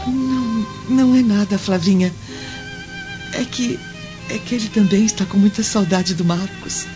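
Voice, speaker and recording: A woman playing a gentle, sorrowful Roman mother in a radio drama. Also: medium pitch, lively intonation, a little slow, lightly acted. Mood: sorrowful, faltering. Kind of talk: radio drama acting in Portuguese. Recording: muffled radio drama under loud music.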